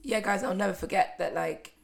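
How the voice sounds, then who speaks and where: A young woman from the UK co-hosting a casual podcast chat, animated and laughing often. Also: medium pitch, fairly quick, vocal fry, a little hiss or hum. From United Kingdom. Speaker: podcast co-host.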